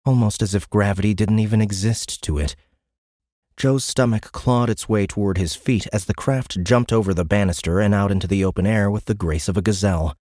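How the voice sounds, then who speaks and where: A man's voice: lowish voice, brisk, darkish tone, no room sound, studio quality. A man reading scripted science fiction narration for an audiobook in a dramatic storytelling style.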